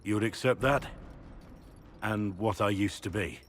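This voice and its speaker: An actor playing a remorseful middle-aged warrior prisoner in a dramatic video game, solemn and heavy-hearted. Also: male voice, lowish voice, a little slow, a bit bright, slight background noise. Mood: vulnerable, sincere.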